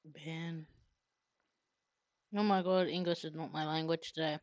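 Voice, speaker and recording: A woman's voice: low-pitched, steady tone, measured pace, no room sound, dull audio. A woman livestreamer chatting casually and rambling, half muttering to herself, close to a streaming mic. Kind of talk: casual livestream chat while drawing.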